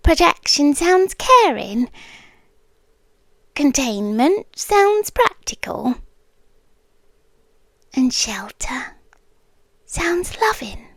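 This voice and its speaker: A British woman playing a possessive monster queen in an ASMR roleplay, speaking in hushed, deliberate tones that often slip into whispers. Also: high voice, big pitch swings, no room sound, exaggerated voice. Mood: coaxing, sly. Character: possessive monster queen, soft-spoken, menacing yandere lover. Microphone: close, dry microphone.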